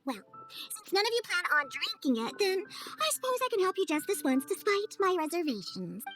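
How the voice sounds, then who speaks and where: A woman voicing a grumpy, proud young girl in a video game, huffy and reluctant but soft-hearted. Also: very high voice, sing-song, moderate pace, music in the background. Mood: grudging. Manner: huffy, reluctant, self-important. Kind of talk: animated video game voice acting.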